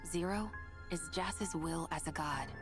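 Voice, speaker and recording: A woman voicing a calm, formal and solemn otherworldly figure in a video game. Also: low-pitched, a little slow. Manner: formal, composed. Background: game music.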